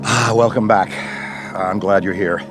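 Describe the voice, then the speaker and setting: An actor playing Ray, an enthusiastic middle-aged veteran ghostbuster who rambles in wordy, professorial speech. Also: male voice, lowish voice, steady tone, a little slow, music in the background, lightly acted, poor audio. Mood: lively. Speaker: veteran mentor. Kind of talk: video game cutscene voice acting.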